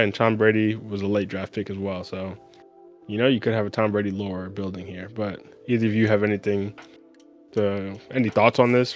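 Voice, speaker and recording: Low-pitched man hosting a sports podcast. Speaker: podcast host. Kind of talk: casual sports commentary.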